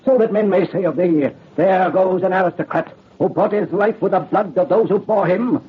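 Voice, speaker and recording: An actor playing a proud middle-aged aristocrat father in an old radio drama, with grave, declamatory archaic speech and a husky voice. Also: sits high, moderate pace. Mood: solemn urging. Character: proud, doomed aristocrat father, solemn and resolute. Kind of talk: old-time radio horror drama acting. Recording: muffled old radio recording.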